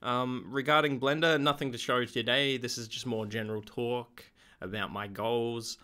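Slightly hoarse man, rambling. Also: mid-range voice, moderate pace. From Australia. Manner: relaxed, rambling, with many ums and uhs. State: slightly hoarse, getting over an illness. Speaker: vlogger. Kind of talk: casual video diary.

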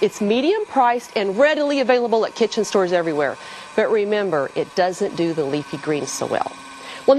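A middle-aged woman hosting a cooking show, explaining a demonstration in her kitchen to the viewer in an upbeat way. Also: medium pitch. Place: home-style kitchen studio.

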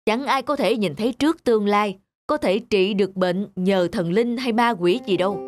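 A sensible young peasant husband from an animated folk tale, played by an actor. He reasons firmly and skeptically, with faint music in the background. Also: sits high, moderate pace, no echo, close to an everyday voice.

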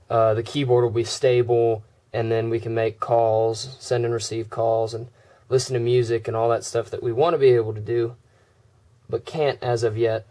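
A man with a cold, his voice going hoarse, casually walking through a phone's software and filling gaps with uhs and ums. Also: mid-range voice. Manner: relaxed, many "uh" and "um" fillers. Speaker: tech video creator. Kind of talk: casual screen demo walkthrough.

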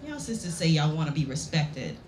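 A smooth-talking, lovestruck man played by a woman reader murmurs tender flirtations in short, intimate phrases. It is a live story reading in a roomy space with faint noise. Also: sits low, sing-song, fairly quick, darkish tone, voice pushed down. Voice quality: gravelly. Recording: roomy live venue recording with background noise.

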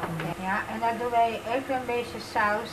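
A middle-aged woman showing a recipe casually at home, speaking haltingly and mixing languages. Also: on the high side. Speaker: home cook, guest. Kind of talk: casual cooking demonstration. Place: small home kitchen, indoors. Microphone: camera microphone at a little distance. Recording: noisy kitchen, camera mic. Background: kitchen cooking noise.

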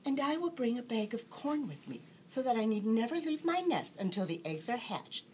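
An earnest storybook mother duck, played by a woman, naive and proper. The voice is lifted well above a normal speaking pitch and swings about, with faint noise behind. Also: big pitch swings, normal speed, darkish tone. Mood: earnest, fussing. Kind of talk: storybook character reading.

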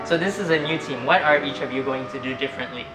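An off-camera interviewer asking the team questions in a brisk way. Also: mid-range voice, heavy background noise. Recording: roomy room, loud music.

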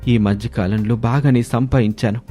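A man's voice: medium pitch, fairly even intonation, moderate pace, mellow, lightly acted. A male storyteller playing a polite middle-aged village father, respectful and explanatory. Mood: conciliatory. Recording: background music under voice.

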